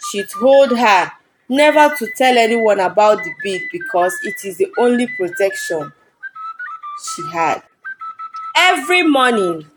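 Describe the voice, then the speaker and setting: A woman's voice: fairly high pitch, rough recording. A woman working as a storyteller, narrating a scripted folktale.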